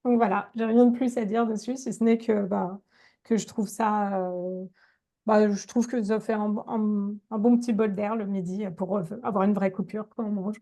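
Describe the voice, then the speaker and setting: A woman's voice: mid-range voice, steady tone, moderate pace, mellow. A woman chatting on a podcast, giggly and talkative. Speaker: podcast guest. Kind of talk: casual chat.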